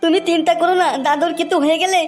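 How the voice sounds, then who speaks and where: A woman voices an excitable, coarse young village lad in a comic cartoon. The pitch is very high and the delivery melodramatic and pleading, over a music bed. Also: very fast. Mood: soothing. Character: crude, excitable young village son, anxious for his father. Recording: music behind the voice.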